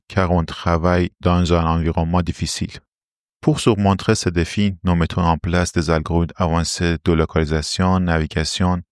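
Low-voiced Iranian-accented man, formal and explanatory. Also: no echo, studio quality. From Iran. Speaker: interview guest, robotics R&D engineer. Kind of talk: prepared interview answers.

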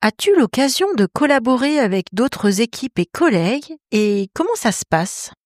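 Higher-pitched woman hosting, polite and presenter-like. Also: sing-song, moderate pace, dry recording, clean studio sound. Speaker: podcast host, interviewer. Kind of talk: scripted podcast interviewing.

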